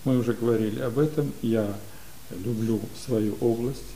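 An older man from Ukraine, telling stories as a guest in an interview, unhurried and full of pauses, recorded in a library studio. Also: mid-range voice, fairly even intonation, warm tone, a little hiss or hum, low-quality recording. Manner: unhurried, many pauses and hesitations. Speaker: guest, community leader. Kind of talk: relaxed storytelling in an interview.

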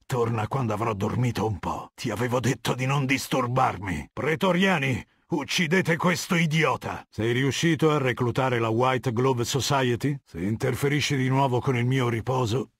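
Video game warlord, middle-aged. Husky, imperious, measured authority; dry studio sound. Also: male voice, mid-range voice, no room sound. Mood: curt, angry. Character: cold, commanding middle-aged male warlord of a legion. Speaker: voice actor.

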